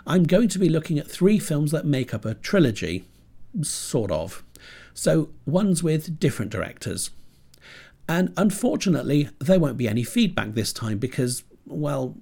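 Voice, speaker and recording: A man's voice: a little high, very animated, moderate pace, dark tone. A British man hosting a horror film podcast with dry, self-deprecating wit, sounding stuffy from a cold. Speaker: podcast host. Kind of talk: scripted podcast monologue. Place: home computer desk.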